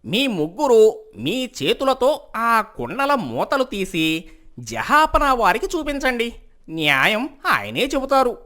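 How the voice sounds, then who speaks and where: A woman's voice: on the high side, sing-song, takes it slowly, fairly bright tone, full character voice. A woman voicing a witty, confident male court jester in a moral story cartoon, speaking in an emphatic, declamatory way. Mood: commanding. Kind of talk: animated moral story dialogue.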